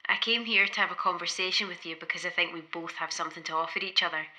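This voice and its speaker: Blunt young poet, very bright voice. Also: female voice, on the low side, fast talker. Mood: blunt, impatient. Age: young adult. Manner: direct, frank. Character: nineteen-year-old female poet, blunt, ambitious, impatient. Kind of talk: audio-play acting.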